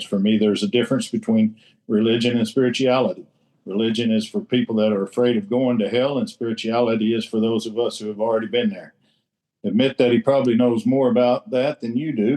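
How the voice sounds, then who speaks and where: A man's voice: lowish voice, darkish tone. A man sharing in a recovery roundtable in a blunt, salty way, swearing freely and repeating himself for emphasis. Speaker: recovery group member sharing. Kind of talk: casual share in a recovery roundtable.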